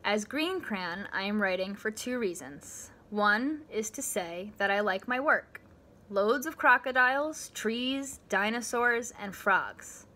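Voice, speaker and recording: A woman in her thirties reading a children's storybook aloud with measured phrasing. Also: mid-range voice, sharp tone. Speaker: school staff member reading aloud. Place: living room at home.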